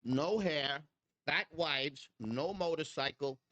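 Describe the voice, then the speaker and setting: A man's voice: on the high side, slow pace, a bit bright, no room sound, highs cut off. A man in his sixties, a custom chopper builder, chatting bluntly in an interview and swearing freely. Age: 60s. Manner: defiant, preachy toward critics. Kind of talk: casual interview chat.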